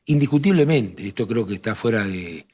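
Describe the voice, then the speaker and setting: A man with a Rioplatense accent explaining poetry and translation in a measured talk-show conversation, often restarting his phrases. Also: on the low side, expressive, a little mellow, slightly rough. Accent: Rioplatense Spanish. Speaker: literature professor on a TV talk show.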